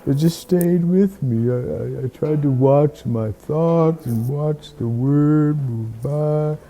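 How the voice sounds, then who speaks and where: Very mellow, slow, musing storyteller. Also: mid-range voice. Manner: halting, musing, repeats words. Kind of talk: slow, reflective bedtime-story monologue.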